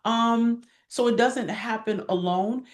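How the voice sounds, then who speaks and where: A woman's voice: on the high side, slow. A woman giving candid, encouraging interview answers in a conversational way, repeating points for emphasis. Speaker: arts guest, director and actress.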